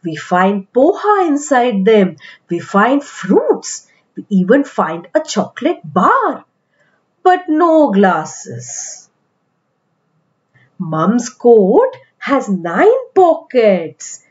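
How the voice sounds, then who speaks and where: Slow, animated Indian woman storyteller. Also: fairly high pitch, highs cut off. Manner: slow, deliberate, expressive phrasing for young children. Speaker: children's storytelling host. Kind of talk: animated picture-book read-aloud for kids.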